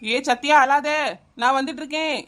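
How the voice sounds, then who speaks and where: A woman playing a brave young village aunt who talks quickly and reassuringly in an amateur audio drama. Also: high voice, fairly even intonation, a bit bright. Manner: reassuring, brisk, fast-talking.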